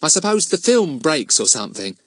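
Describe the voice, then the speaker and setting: A man's voice: a little high, big pitch swings, fairly quick, no room sound, voice pushed up. A man voicing a chatty, gushing, good-natured young woman in a children's storybook. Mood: chatty, eager.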